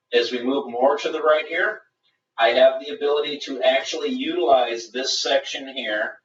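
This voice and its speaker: A man's voice: mid-range voice, fairly bright tone, slight background noise. A man giving a methodical, explanatory live webinar product demonstration. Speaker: product presenter. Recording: roomy, distant, dull webinar audio.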